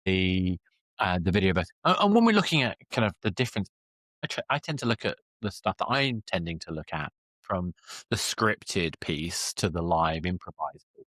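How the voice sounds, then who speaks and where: A man's voice: sits low, very animated, moderate pace, fairly bright tone, no room sound. A man hosting a podcast interview, thinking aloud in an analytical, conversational way. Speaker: podcast host.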